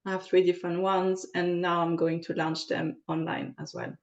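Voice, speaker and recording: A woman's voice: on the low side, flat delivery, normal speed, highs cut off. A French woman with a French accent, answering interview questions in a hesitant way, with many fillers. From France. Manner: hesitant, many ums and uhs. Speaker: podcast guest, aromatherapist.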